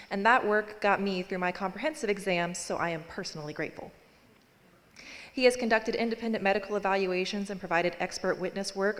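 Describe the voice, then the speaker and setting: A lower-pitched woman gives a formal speaker introduction from a prepared bio, her delivery steady and measured. Her voice carries the long reverb of a large conference hall. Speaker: postdoctoral researcher introducing a speaker.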